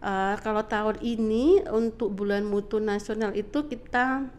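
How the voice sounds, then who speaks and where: A middle-aged woman with a slightly congested, nasal voice, giving polite, halting explanations as an interview guest. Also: mid-range voice, fairly even intonation, darkish tone. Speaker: interview guest, government agency director. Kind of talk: conversational explanatory answers.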